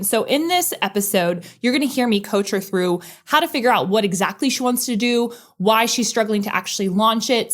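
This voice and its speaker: Upbeat woman podcast host. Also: mid-range voice. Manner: upbeat, enthusiastic, fast bursts. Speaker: podcast host and career coach. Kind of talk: podcast coaching call.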